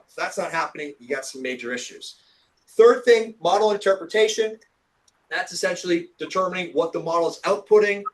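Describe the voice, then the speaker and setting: A young man walks an audience through forecasting with an energetic, explanatory manner. His voice is pitched high and sounds a little hoarse. He talks casually, with everyday fillers. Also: poor audio. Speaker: TV meteorologist giving a talk. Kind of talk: presentation lecture.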